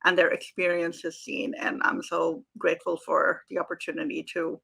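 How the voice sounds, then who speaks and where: Gravelly-voiced woman from Pakistan. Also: lowish voice, flat delivery, normal speed, pushed and bright. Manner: chatty, friendly, with fillers. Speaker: author guest. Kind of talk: casual panel self-introduction.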